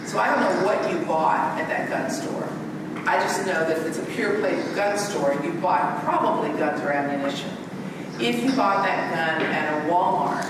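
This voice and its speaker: A woman's voice: fairly low pitch, normal speed. Middle-aged woman executive, direct and earnest; distant mic, echoey event hall. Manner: direct, earnest, conversational advice-giving. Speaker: bank CEO as guest speaker. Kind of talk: fireside chat talk and Q&A answers. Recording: echoey hall from far off, background noise.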